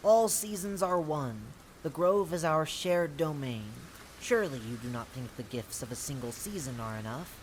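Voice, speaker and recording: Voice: mid-range voice, lively intonation, normal speed, few pauses, a little hiss or hum, natural voice, poor audio. A performer voicing proud, rivalrous fae courtiers of the seasons in a theatrical, declamatory audio-drama style. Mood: challenging.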